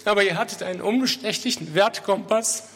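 A man's voice: sits high, brisk, a bit bright. Tearful man in an echoing hall. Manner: emotional, tearful. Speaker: award winner giving a speech. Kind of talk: acceptance speech. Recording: reverberant hall, faint noise.

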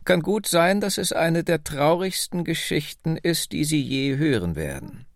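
Man voicing a slow, lilting very old woman. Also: fairly high pitch, lively intonation, creaky, no echo, clean studio sound, voice pushed up. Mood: wistful, earnest. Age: 90s. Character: very old American-born woman, proud, wistful storyteller.

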